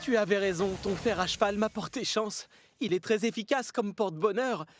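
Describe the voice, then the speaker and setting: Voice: medium pitch, moderate pace, a little hiss or hum, natural voice. An actor voicing an eager, kind, anxious young male tank engine in a children's cartoon, earnest and exclamatory. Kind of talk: dubbed children's cartoon dialogue.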